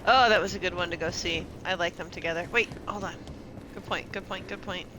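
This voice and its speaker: A woman's voice: lowish voice, fairly even intonation, brisk, background noise. A woman doing casual live game commentary, chattily thinking aloud and reacting as she plays. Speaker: video game streamer.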